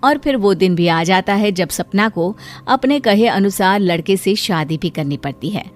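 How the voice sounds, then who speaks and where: A woman's voice: mid-range voice, animated, moderate pace, faint music behind. A woman reading scripted narration for a moral story.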